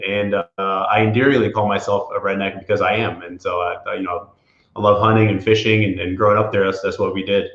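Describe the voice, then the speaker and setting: Low-voiced man on an echoey call. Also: steady tone, fast, a bit bright, muffled recording. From Florida Panhandle, USA. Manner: hesitant, many fillers and restarts. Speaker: property insurance attorney, guest. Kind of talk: casual interview talk.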